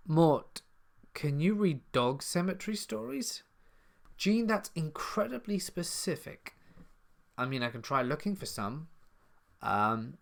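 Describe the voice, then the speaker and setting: A man's voice: medium pitch, gravelly, fairly bright tone. A man from the south of England with a southern English accent, hosting a live stream Q&A and casually reading out viewer comments before answering them. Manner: casual, chatty, reads comments aloud then answers. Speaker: horror story channel host.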